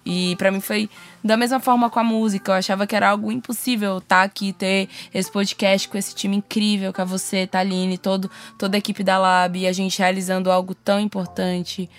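A woman's voice: medium pitch, steady tone, normal speed, faint music behind. A Brazilian woman hosting a podcast in a conversational style, effusive and encouraging. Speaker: podcast host.

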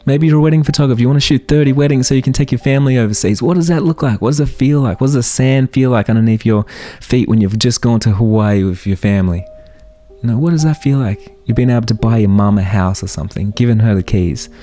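A man's voice: mid-range voice, fast talker, dark tone, soft background music. A man in his thirties hosting a solo motivational podcast, talking earnestly and firing off rhetorical questions. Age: 30s. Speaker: podcast host.

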